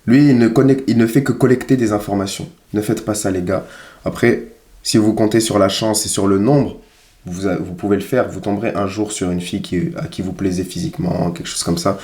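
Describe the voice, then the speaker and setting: A man's voice: fairly low pitch, steady tone, fairly quick, darkish tone, roomy sound. A man giving casual, critical commentary as a dating coach, emphatic and repeating phrases for stress. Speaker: dating coach reviewing footage.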